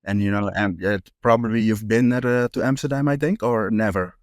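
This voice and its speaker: A man's voice: on the low side, a little fast, no room sound, clean studio sound. A young man from the Netherlands, a street photographer talking casually as a guest, with many fillers and restarts. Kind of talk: casual interview chat.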